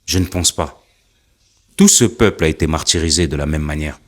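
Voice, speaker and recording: A man's voice: extremely low pitch, moderate pace. A man delivering earnest spoken commentary, building his point through repeated rhetorical questions. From Africa.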